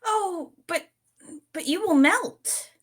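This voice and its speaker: A woman's voice: very high-pitched, expressive, slow pace, exaggerated voice. A woman voicing a worried, tender little girl, plaintive and hesitant, in a cartoon table read.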